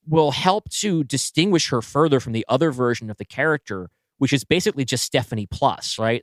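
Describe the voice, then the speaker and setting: A man with a medium-low voice talks casually as a podcast co-host. He reasons aloud in an analytical, conversational way, and the sound is dry, with very little room in it. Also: no room sound. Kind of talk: casual story brainstorming.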